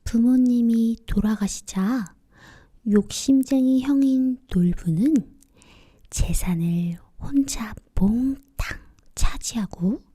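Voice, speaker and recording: Slow, breathy woman storyteller, soft and mellow. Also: on the high side. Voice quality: soft, breathy, often near a whisper. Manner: gentle, slow, expressive phrasing. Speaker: children's storyteller. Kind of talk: quiet bedtime fairy-tale narration in casual storytelling speech.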